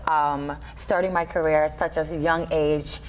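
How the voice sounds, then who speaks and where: A woman's voice: low-pitched, level tone, measured pace, clean tone, low-quality recording. A woman around thirty, a performer being interviewed as a guest, talking casually and informally in a TV studio. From Trinidad and Tobago and Buffalo, USA. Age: 30s. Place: TV studio kitchen set, indoors. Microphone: lapel mic.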